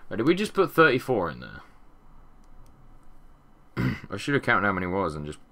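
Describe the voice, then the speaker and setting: A young man with a deep voice and a sore throat, chatting casually over gameplay in a rambling way that often trails off into mumbles. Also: very animated, normal speed, gravelly, sharp tone. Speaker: gaming channel host. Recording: audible background noise and keyboard clicks.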